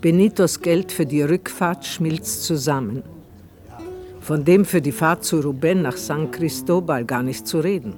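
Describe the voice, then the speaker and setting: A man acting as the storyteller of a radio play. His voice sits slightly high for a man, and he delivers scripted narration over faint music. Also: a little slow, darkish tone, natural voice. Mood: measured narration.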